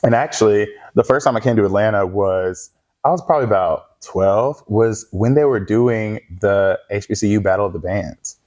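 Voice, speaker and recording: Young man, low-pitched, chatty, animated, laughing, casual interview guest. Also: normal speed. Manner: animated storyteller, laughs often, slangy. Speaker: guest pop singer.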